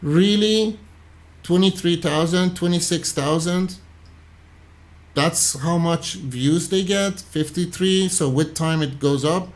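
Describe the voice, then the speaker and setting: A man's voice: on the high side, slow pace. A man from Iran, hosting a livestream, commenting casually and thinking aloud as he reacts to his chat. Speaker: livestream host.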